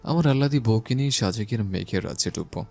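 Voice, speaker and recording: A domineering yet loving young husband, voiced by a man in an audio love story. His low voice is gravelly and lively, full of teasing and possessive swagger. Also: a little fast, faint music behind. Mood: tender teasing. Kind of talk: audio story character acting.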